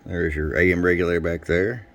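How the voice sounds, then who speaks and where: Very deep-voiced man, casual demo. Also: fairly even intonation, brisk. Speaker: radio shop technician and presenter. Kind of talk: casual hands-on product demo, off the cuff. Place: indoors at a radio workbench.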